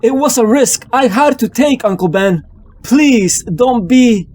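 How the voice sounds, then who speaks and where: A man's voice: very high voice, expressive, unhurried, darkish tone, heavily acted. A man voicing an anxious young male superhero who mutters tensely to himself.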